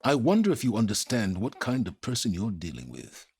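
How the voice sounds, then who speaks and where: An actor playing an arrogant, secretive older scholar in a video game, gravelly and pompous, with deliberate, condescending formal phrasing. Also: male voice, on the low side, huge pitch swings, darkish tone, dry recording, full character voice. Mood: condescending, dry. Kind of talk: video game dramatic acting.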